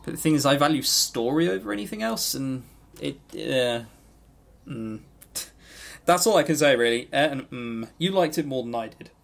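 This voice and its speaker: A man's voice: mid-range voice, animated, normal speed, vocal fry. A man co-hosting a podcast, talking casually and informally, with opinionated but hesitant delivery. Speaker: podcast co-host. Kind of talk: casual game review chat.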